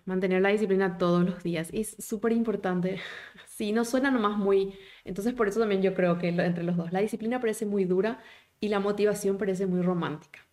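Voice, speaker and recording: Lively woman teaching. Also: medium pitch, fairly quick. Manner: animated, gesturing, encouraging, asks the viewers many questions. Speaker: German teacher. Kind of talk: live online class. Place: small home office indoors.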